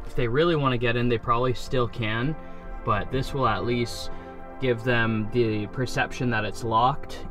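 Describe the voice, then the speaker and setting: A man's voice: lowish voice, a bit bright. Laid-back young man vlogging from a truck. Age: young adult. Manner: chatty, laid-back, joking. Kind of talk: casual vlog talk to camera. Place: inside a truck bed camper shell at night. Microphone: camera mic at close range.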